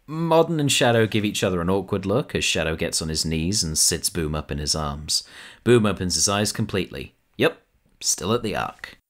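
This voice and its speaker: A man narrating a fan audio drama in a steady storytelling manner. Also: deep voice, normal speed. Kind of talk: scripted narration.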